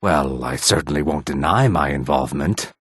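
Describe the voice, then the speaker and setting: A proud reptilian assassin from a fantasy video game. His voice sits extremely low, with a husky rasp, recorded dry and close. He speaks in a measured, sibilant, theatrical way, polite yet quietly menacing. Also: dry recording, exaggerated voice. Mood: amused, admiring. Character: calm, menacing male lizardfolk assassin, proud and courteous. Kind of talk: video game character dialogue.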